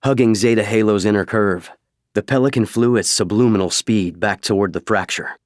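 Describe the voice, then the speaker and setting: Male narrator for novel audiobook, lowish register, close dry studio sound. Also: moderate pace, no room sound. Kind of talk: scripted fiction narration.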